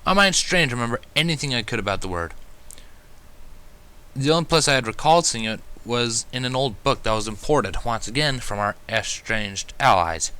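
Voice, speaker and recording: A man narrates a first-person fan fiction story expressively. His voice is bright and forward, and his pitch moves in wide, animated swings.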